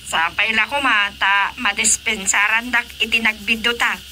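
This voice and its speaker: A woman's voice: on the high side, steady tone, normal speed, bright, forceful tone, faint music behind. An actress playing an apologetic female village official, humble and pleading, in a radio drama. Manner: pleading, deferential.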